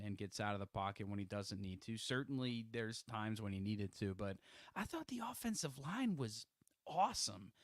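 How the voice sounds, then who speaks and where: Animated young man on a sports podcast. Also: medium pitch, clear, resonant tone, no echo, studio quality. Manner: animated, rambling with restarts. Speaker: podcast co-host. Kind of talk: casual sports chat.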